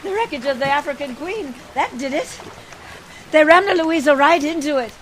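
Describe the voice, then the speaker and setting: A woman's voice: high-pitched, lively intonation, normal speed, slight background noise. A woman playing a prim, devoted and spirited sweetheart in a radio play, earnest and exclamatory. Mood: cheerful.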